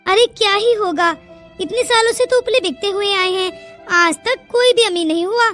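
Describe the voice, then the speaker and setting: A woman voicing a fretful, gently scolding village mother in a cartoon moral story. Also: very high voice, moderate pace. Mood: worried, doubting. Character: worried but gentle village mother, middle-aged. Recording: music behind the dialogue.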